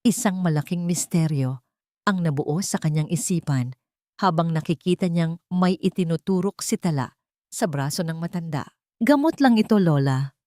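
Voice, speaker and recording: A woman giving scripted, steady narration of a dramatic story. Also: deep voice, normal speed, no echo, clean studio sound.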